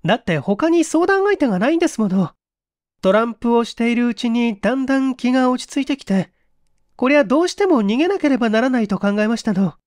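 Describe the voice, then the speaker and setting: Woman doing a polite young lady in an old mystery radio play, high voice, refined n pleading, very dry recording. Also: animated, fast, a little mellow, no echo, natural voice. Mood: composed, polite. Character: Aiko, polite, composed young woman with a secret. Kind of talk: audio drama reading of a vintage mystery.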